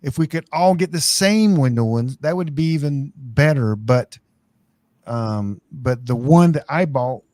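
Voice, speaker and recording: A man's voice: medium pitch, animated, unhurried, darkish tone, dry recording. A man with an American accent hosting a casual live stream, rambling through tech advice.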